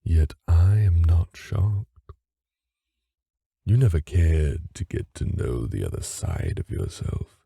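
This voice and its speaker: A man's voice: low-pitched, sing-song, slow, gravelly, warm tone, husky, dry recording, studio quality, heavily acted. An actor playing a haughty, manipulative fae father with a cold, formal, condescending way of talking in a fantasy audio drama. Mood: cold menace. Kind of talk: fantasy audio roleplay acting.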